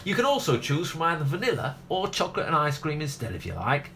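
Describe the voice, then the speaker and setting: A man's voice: fairly high pitch, huge pitch swings, fast, fairly bright tone, slight background noise, full character voice. A man playing a proud, rambling middle-aged ice cream parlour owner with a South Yorkshire accent in a comedy radio play. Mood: eager, boastful. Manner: garrulous, rambling, cheerfully talks over others. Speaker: actor.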